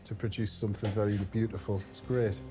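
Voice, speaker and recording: A man's voice: on the low side, level tone, fairly quick, over music. Enthusiastic male food presenter. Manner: enthusiastic, effusive praise. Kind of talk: casual chat on a TV food show.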